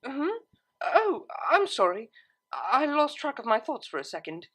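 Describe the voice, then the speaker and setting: Woman voicing a prim, high-pitched refined young mare. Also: big pitch swings, measured pace, breathy edge, full character voice. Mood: flustered, coy. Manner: prim, gently teasing.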